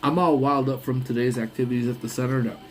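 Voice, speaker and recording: A man's voice: medium pitch, fairly even intonation, fast, slight background noise. A young man hosting a casual lunch-break live stream, rambling and laughing often, with a voice that sounds congested. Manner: rambling, laughs often, trails off mid-thought.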